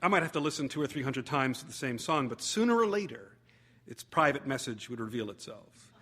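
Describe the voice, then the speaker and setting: A man reading a humorous memoir aloud as theatrical narration, wry and expressive with deliberate phrasing. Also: mid-range voice. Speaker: actor reading a short story.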